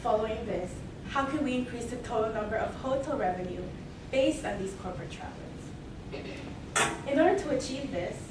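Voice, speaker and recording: A young woman from Mexico and Belize giving a formal, rehearsed student case presentation in a measured way. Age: young adult. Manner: measured, formal pitching with repeated "moving right along". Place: large echoey hall, heard from afar. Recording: distant echoey hall recording with noise.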